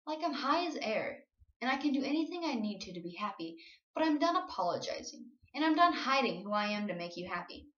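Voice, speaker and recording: High-voiced woman reciting slam poetry, roomy sound. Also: sing-song, a little fast, fairly bright tone. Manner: earnest, emotional, confessional delivery. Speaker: slam poet. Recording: roomy, dull lo-fi home recording.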